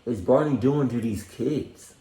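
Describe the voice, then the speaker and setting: A man's voice: medium pitch, unhurried. A man giving casual, slangy reaction commentary in a blunt, profane way. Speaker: reaction video host. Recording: roomy, echoey recording.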